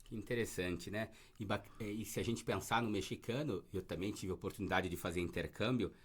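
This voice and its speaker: A man's voice: on the low side, fairly quick, a bit bright, a little hiss or hum. A man from Brazil interviewing a guest, prompting him in a friendly way with open questions. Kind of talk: casual interview.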